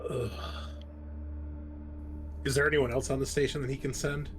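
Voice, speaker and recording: A man's voice: mid-range voice, little pitch movement, moderate pace, noisy background. A man playing a tabletop game, talking casually and colloquially in a playful, grumbling way.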